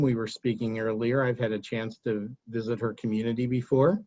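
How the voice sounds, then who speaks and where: A man hosting a webinar, encouraging the speaker and introducing the next guest briskly. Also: medium pitch, a little mellow, highs cut off. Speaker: host. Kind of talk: webinar moderation.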